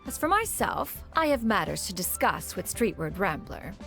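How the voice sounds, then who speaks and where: A stately crane adept from a fantasy game, speaking with archaic formality. Her pitch rises and falls with grand lilt. Clear game music accompanies her. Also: very animated, normal speed. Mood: composed. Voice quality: formal, archaic, self-assured. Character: stately immortal crane adept woman, lofty, precise. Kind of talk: game voice acting.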